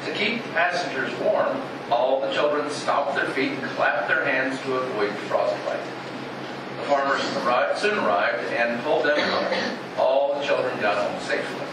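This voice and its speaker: A man's voice: mid-range voice, measured pace. An older man reading a prepared script aloud as a narrator at a public talk. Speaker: speaker reading a script at a historical society event. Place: echoey space, away from the microphone. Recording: echoey, distant microphone, clear background noise.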